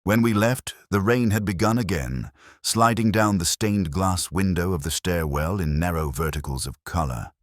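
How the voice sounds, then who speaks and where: A middle-aged man reading a scripted first-person detective story in a measured, reflective, literary way. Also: deep voice, no room sound, studio quality. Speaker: audiobook-style narrator of a detective story.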